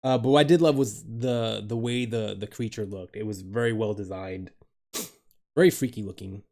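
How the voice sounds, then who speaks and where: A man's voice: on the low side, steady tone, moderate pace, a little mellow. Male streamer, casual, loose and swears easily, laughs a lot while chatting with viewers on a home desk mic. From Dominican Republic. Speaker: live streamer.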